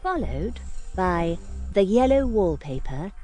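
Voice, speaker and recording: A woman reading the opening credits of an audiobook in a slow, deliberate announcing manner. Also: mid-range voice, very animated, mellow, soft background music. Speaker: audiobook publisher and narrator. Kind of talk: scripted audiobook introduction.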